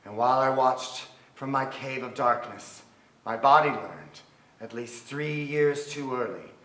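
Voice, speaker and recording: A man's voice: medium pitch, measured pace, pushed and bright. An actor playing a wistful man recalling his boyhood in theatrical literary narration. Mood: wistful, intense. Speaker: stage actor in a staged reading. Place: theatre stage. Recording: echoey theatre hall. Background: violin music.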